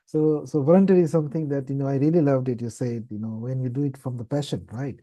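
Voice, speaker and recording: A man's voice: mid-range voice, steady tone, fast, mellow. A man hosting a panel session, effusive and given to repeating his phrases. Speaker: event host. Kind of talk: moderating an online panel session.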